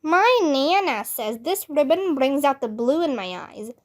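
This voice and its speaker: A woman's voice: high-pitched, very animated, a little slow. A woman voicing a vain, showy little girl who boasts in a children's storybook. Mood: preening.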